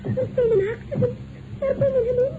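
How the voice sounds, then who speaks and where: High-pitched housemaid, 1950s radio play, excitable and gossipy, very lively intonation, noisy vintage sound. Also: female voice, brisk, narrow, tinny audio. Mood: distressed. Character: chatty, emotional working-class housemaid, landlady's daughter. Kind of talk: 1950s radio drama acting.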